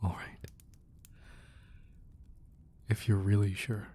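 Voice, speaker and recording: Male actor as protective crime boss lover, dark soft voice, breathy, tender yet dangerous, intimate ASMR roleplay. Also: fairly low pitch, monotone. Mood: tender, pleading. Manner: slow, deliberate, tender murmuring with pauses.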